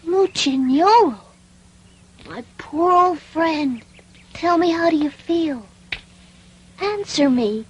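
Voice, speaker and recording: A woman's voice: very high voice, animated, slow pace, long pauses, a little hiss or hum, full character voice. A woman playing an earnest, tender-hearted little boy puppet in an English cartoon dub, with childlike, emotive delivery. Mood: sad, concerned. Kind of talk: classic cartoon dub acting.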